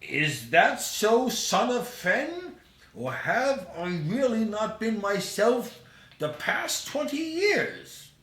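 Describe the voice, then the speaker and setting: A man voicing a cantankerous, remorseful old miser in a storybook reading, with drawn-out theatrical phrasing. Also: sits high, sing-song, a bit bright, reverberant, pitched above the performer's own voice, exaggerated voice. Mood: bitter, self-reproachful.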